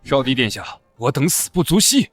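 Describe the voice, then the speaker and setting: An actor playing a proud, righteous, unbending scholar in a historical comic drama, oratorical and haughty. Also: male voice, mid-range voice, very animated, brisk, no room sound, faint music behind. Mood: righteous, defiant.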